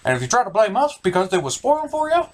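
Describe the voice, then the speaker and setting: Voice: medium pitch, sing-song, quick pace. A theatrical, exaggerated host narrating a scripted comedic movie recap.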